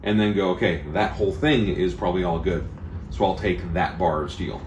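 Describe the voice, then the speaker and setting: Deep-voiced booming blacksmith instructor. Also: male voice, brisk. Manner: booming, emphatic, explains at length. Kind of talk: casual interview talk. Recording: echoey room with faint noise.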